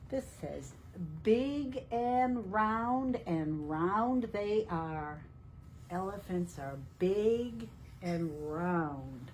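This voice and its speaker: A woman reading a picture book aloud to young children in a deliberate, teacherly way, asking questions as she goes. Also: mid-range voice, animated, darkish tone, slight background noise. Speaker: children's storytime reader at a library.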